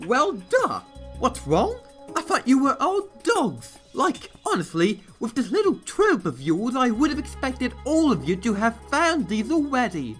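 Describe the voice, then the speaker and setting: A woman plays a grand, conniving lady pony from a parody cartoon. The voice is high and swoops theatrically, with affected airs and faint music behind it. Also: huge pitch swings, a little slow, full character voice. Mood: breezy, impatient. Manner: affected, dramatic, drawling grand phrases. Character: haughty, scheming ladylike pony mare, theatrical and posh. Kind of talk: fan parody cartoon dub.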